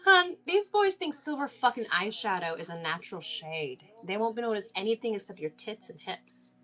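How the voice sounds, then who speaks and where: A woman's voice: mid-range voice, big pitch swings, normal speed, bright, forceful tone, faint music behind. A woman reading the part of a brash, foul-mouthed, folksy small-town friend at a film table read. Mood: teasing. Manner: salty, folksy, colloquial.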